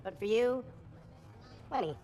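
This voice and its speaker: A woman's voice: on the high side, lively intonation, a little slow, mellow. A woman playing an assertive, dealing game event organizer in a comedy film, recorded in a reverberant space. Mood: casual. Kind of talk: scripted comedy film acting. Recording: reverberant room, long echo.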